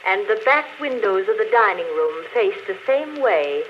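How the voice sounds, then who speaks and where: An actress playing an unrepentant murderess confessing in an intimate, matter-of-fact way, in 1940s radio drama style. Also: female voice, medium pitch, very animated, moderate pace, close to an everyday voice. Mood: coolly confiding. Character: murderess confessing on a phonograph record, coolly unrepentant. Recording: dull, noisy old radio recording.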